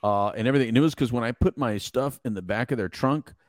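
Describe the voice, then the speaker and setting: A man whose first language is Spanish, telling stories in casual podcast conversation with lively, slangy delivery. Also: medium pitch, quick pace, no echo, clean studio sound. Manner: animated storyteller, slangy, says "bro". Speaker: podcast co-host.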